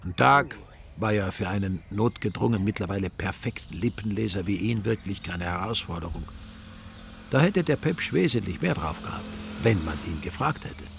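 A man's voice: on the low side, lively intonation, moderate pace, vocal fry, a little hiss or hum. A man with an Austrian accent narrating a radio drama in a wry, colloquial storytelling style.

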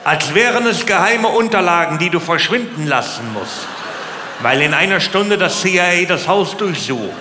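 Male stand-up comedian, animated storyteller, repetitive phrasing, live hall with long reverb and clear noise. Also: high voice, normal speed. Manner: repeats words, acts out little scenes. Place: large live venue. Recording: echoey hall, live stage, noisy.

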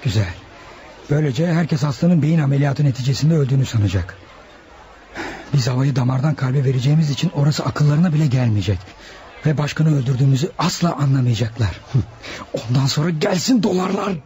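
An actor playing a confident, commanding leader of hired killers in a Turkish radio drama. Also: male voice, mid-range voice, expressive, dark tone, natural voice. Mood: gloating. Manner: brisk, commanding. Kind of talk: Turkish radio theatre acting. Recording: noisy old radio drama recording.

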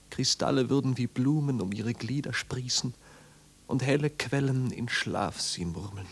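An actor in a 1950s German radio drama playing a tormented young wanderer who pleads with God in despair, moving between breathy whispers and ringing cries. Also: male voice, lowish voice, fairly bright tone, full character voice. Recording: noisy 1950s radio recording with hum.

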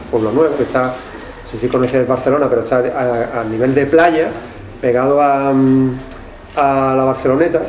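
A man's voice: medium pitch, a little mellow. A man from Seville with an Andalusian accent, an anthropologist presenting his book, rambling with fillers and tag questions. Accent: Andalusian Spanish with some Catalan tinge. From Seville, Spain. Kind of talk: public talk presentation. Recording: echoey room, faint noise.

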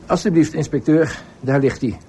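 A man's voice: on the high side, normal speed, mellow, slight background noise, lightly acted. A man playing a dutiful, matter-of-fact village police sergeant who reports deferentially, in a radio drama.

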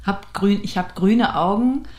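A woman's voice: mid-range voice, measured pace, darkish tone. A woman describing herself spontaneously, hesitant and thinking aloud.

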